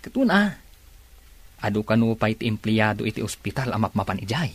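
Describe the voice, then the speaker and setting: A man's voice: lowish voice, very animated, fairly quick, dry recording. A young man acting a chummy workmate in an Ilocano radio drama. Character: chummy young workmate, keen on food and girls.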